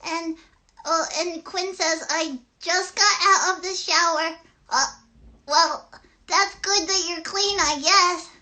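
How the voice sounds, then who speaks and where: A woman voicing an excited, friendly little girl puppet who chats eagerly and haltingly in a children's livestream show. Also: very high-pitched, full character voice. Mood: cheerful, chatty.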